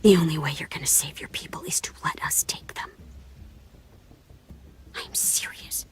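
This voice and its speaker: A woman playing a grim, conflicted young raider soldier in a game drama, speaking in a breathy hush with terse urgency. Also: sits low, normal speed, whispered, lightly acted. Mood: urgent warning. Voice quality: breathy, hushed. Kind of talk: video game dramatic acting.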